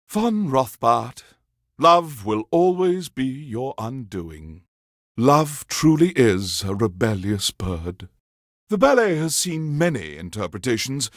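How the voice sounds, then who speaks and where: A grandiose alien emperor presenting classical music on a video game radio station. His delivery is unhurried and theatrical, with sweeping rises and falls in pitch as he savors each phrase. He talks down to his subjects with lofty condescension, in a very dry, close studio sound. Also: no room sound. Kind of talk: theatrical scripted radio host patter.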